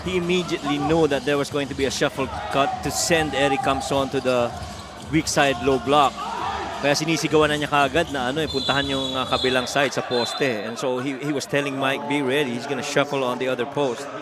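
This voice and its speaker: A man's voice: medium pitch, fairly even intonation, brisk. A man with a Filipino accent giving explanatory live basketball analysis, moving between English and Tagalog. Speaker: color commentator, game analyst.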